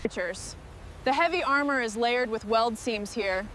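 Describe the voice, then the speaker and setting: Actress as determined female mechanic, fairly high voice, terse orders, scripted workplace drama, loud machinery noise. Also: unhurried, close to an everyday voice. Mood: focused, appraising. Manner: terse, focused, talking to herself and the machine. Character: determined hands-on female mechanic leading a heavy machinery restoration crew. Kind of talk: scripted workplace dialogue with muttering and commands.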